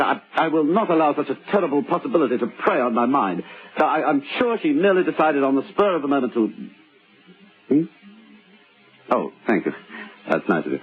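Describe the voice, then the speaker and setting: A man's voice: medium pitch, close to an everyday voice. An actor playing a scheming, nervous middle-aged husband in an old radio drama, muttering and stammering as he goes. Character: scheming forty-year-old husband hiding a crime, nervous, self-satisfied. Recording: tinny old radio recording with music.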